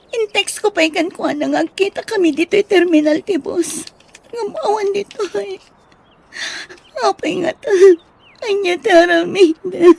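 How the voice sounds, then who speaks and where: High-voiced young woman, lovesick and plaintive, acted. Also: creaky, a little mellow, heavily acted. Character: young woman, a lovesick, fretful girlfriend and recent graduate. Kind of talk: Ilocano radio drama acting.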